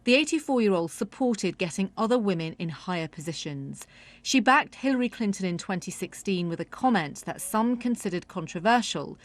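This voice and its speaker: A woman's voice: medium pitch, lively intonation, normal speed. A woman, a news correspondent reading a scripted report.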